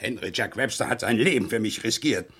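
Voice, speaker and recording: An actor playing a proud, bitter war veteran who is indignant and defiant, in a theatrical German crime radio play style. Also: male voice, on the low side, a little fast, fairly bright tone, breathy edge. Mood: shaken, bitter. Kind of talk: German crime radio play acting, mid to late 20th century.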